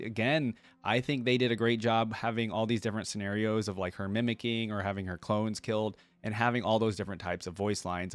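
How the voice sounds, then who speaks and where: A man's voice: on the low side, dry recording. A man talking casually to his viewers as a video host, rambling along with informal fan enthusiasm.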